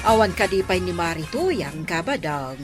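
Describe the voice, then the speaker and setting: A woman's voice: lowish voice, big pitch swings, moderate pace, over music. A woman with an Ilocano accent playing a gossipy, exclaiming village neighbour in a radio comedy. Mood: reassuring. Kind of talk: radio comedy drama acting.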